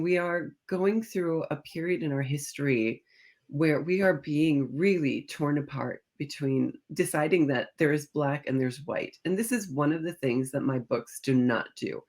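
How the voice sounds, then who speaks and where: A woman's voice: low voice, a little mellow. A middle-aged woman speaking as an interview guest, an author telling stories conversationally and acting out bits of reported dialogue. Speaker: guest, historical fiction author.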